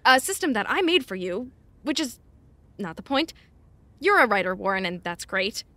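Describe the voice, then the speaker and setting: An actress playing a blunt, exasperated but caring personal assistant in naturalistic audio drama, wry and no-nonsense. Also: female voice, a little high, big pitch swings, moderate pace, bright, forceful tone, no echo. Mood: frank. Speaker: actor.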